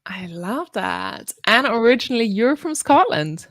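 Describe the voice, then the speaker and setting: A woman's voice: fairly high pitch, big pitch swings, a little slow, fairly bright tone, no room sound, clean studio sound. A woman hosting a live online event, upbeat and coaxing her viewers to share, comment and connect with the speakers. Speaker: summit host.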